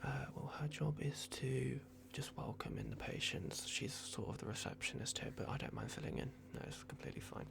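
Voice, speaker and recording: A man in an ASMR roleplay, playing a polite, flustered vampire at a clinic in a hushed, hesitant, apologetic way. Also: medium pitch, a little fast, gravelly, few pauses.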